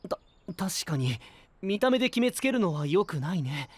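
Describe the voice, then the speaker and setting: A man's voice: high-pitched, sing-song, moderate pace, no echo. A man playing a kindly, easygoing young knight in an anime audio drama, mild and friendly in manner.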